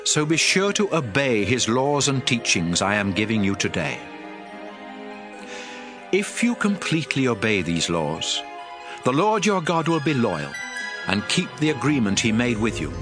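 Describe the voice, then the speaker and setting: A man's voice: medium pitch, big pitch swings, a little slow. An actor playing a stern, fervent prophet who addresses his people in a solemn, emphatic, declamatory dramatized scripture reading. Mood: fervent, exalting. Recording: music under the voice.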